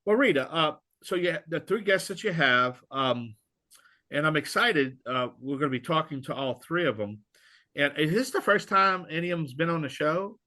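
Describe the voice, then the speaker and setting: A male radio co-host talks in a plain, folksy way. His voice sits a touch high and comes across bright and forceful. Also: expressive, moderate pace. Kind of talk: radio talk show banter.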